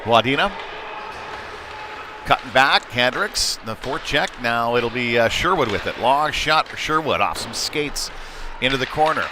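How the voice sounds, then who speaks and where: Male hockey commentator, mid-pitched voice, conversational and halting delivery, clear arena noise behind. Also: expressive. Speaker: play-by-play sports commentator. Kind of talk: live youth hockey play-by-play commentary. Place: indoor ice hockey rink. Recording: noisy ice rink ambience. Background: clear rink noise and ambience.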